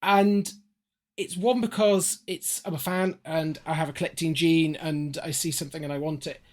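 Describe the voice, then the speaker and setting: Higher-voiced man chatting as podcast guest. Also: steady tone, moderate pace, darkish tone. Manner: rambling, self-interrupting, quoting himself. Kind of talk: casual chat.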